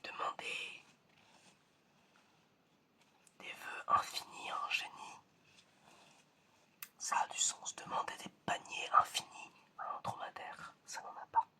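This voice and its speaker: A man playing a stern, menacing examiner in an ASMR roleplay, whispering slowly and deliberately. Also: sharp tone. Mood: probing. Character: stern, menacing examiner testing a suspected robot.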